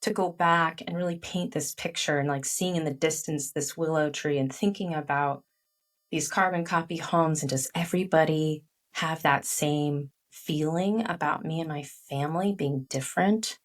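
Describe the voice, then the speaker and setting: A middle-aged woman, a guest author whose first language is Chinese, recounts personal memories with animated, candid energy. Her voice sits on the low side. She sounds a little distant from the microphone. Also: normal speed. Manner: animated storytelling with frequent "like" fillers. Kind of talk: candid interview conversation.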